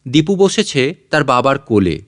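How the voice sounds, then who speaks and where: A man's voice: mid-range voice, big pitch swings, moderate pace. A man reading a literary short story as scripted audiobook narration.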